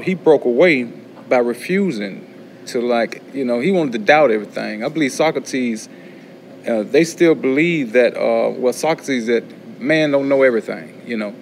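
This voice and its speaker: Colloquial man, faint room noise. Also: medium pitch. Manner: colloquial, emphatic repetition, frequent "you know". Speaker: philosophy student. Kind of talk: thinking aloud in class.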